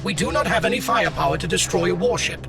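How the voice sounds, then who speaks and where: A very gravelly, slightly high-set male ship computer for a video game. It speaks in formal, precise phrases with deadpan, wry helpfulness. Loud music plays behind it. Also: quick pace. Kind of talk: scripted video game dialogue.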